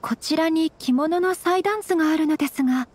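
A woman voicing a gentle, refined young noblewoman with very polite, measured speech in an anime game. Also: extremely high pitch. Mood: courteous. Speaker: game voice actor. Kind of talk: scripted anime game dialogue. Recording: studio voice over game music.

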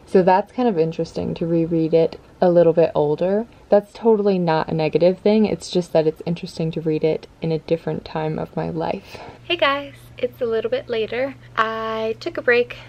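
A woman's voice: fairly low pitch, normal speed, few pauses, dull audio. A young American woman chatting casually and informally to camera in a reflective way as a book vlogger. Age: young adult. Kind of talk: casual vlog chat. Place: bedroom at home, indoors. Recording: clear ambient room noise.

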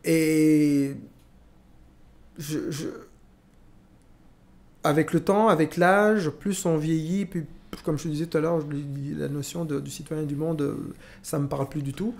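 A man in his forties from Rwanda, talking slowly and hesitantly with many restarts, recorded on a studio boom mic in a small room. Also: steady tone, vocal fry, darkish tone. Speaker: podcast host. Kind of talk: reflective conversation. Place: small room with pink walls, indoors. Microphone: studio mic on boom arm.

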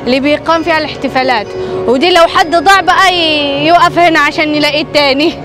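A young woman with a Moroccan Arabic accent talks fast and cheerfully to the camera. Her voice is high, and music plays under her chatter. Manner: bubbly, laughs often. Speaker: travel vlogger. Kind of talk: casual travel vlog.

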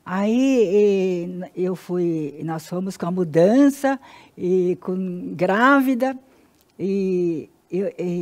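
Halting older Brazilian woman, mellow voice. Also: mid-range voice. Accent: Brazilian Portuguese. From Paraná, Brazil. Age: 60s. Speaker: interview guest. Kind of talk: personal life story in an interview. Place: indoor TV-style studio.

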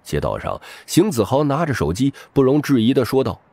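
A man's voice: medium pitch, sing-song, brisk, vocal fry, darkish tone, dry recording. A man narrating a story as an audiobook reader in a dramatic scripted style.

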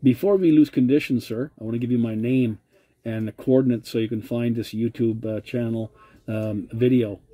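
A man's voice: mid-range voice, steady tone, moderate pace, warm tone. A man making a CB radio contact, polite and using radio procedure words. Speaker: CB radio operator.

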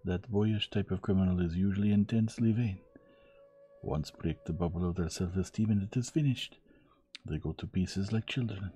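남자가 연기하는 신중하고 단정적인 탐정 푸아로. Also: male voice, deep voice, normal speed, warm tone, voice pushed up, natural voice. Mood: assured.

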